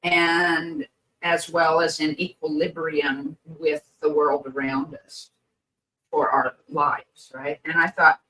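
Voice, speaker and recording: A woman co-hosting a podcast, speaking slowly and reflectively with many pauses, her voice a little hoarse and croaky. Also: deep voice, little pitch movement, bright, forceful tone, recorded from a distance, rough recording. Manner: hesitant, many pauses and fillers. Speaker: podcast co-host. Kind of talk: slow reflective podcast chat.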